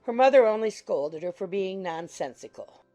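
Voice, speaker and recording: A woman narrating an audiobook in a measured, formal storytelling manner. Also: medium pitch, expressive, dark tone, soft background music. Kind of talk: scripted literary narration.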